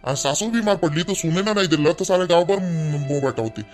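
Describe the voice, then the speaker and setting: A man's voice: a little high, animated, a little fast, darkish tone, faint music behind, lightly acted. A man voicing an exasperated husband and brother in a family cartoon, argumentative and insistent, with a Varhadi Marathi accent. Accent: Varhadi Marathi (Vidarbha). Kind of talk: animated family drama acting.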